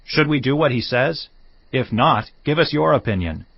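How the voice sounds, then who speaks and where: An actor playing a proud, demanding rebel prince in a dramatized audio Bible, with an imperious, projected delivery. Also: male voice, mid-range voice, expressive, normal speed, vocal fry, slightly rough, no room sound, dull audio. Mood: commanding.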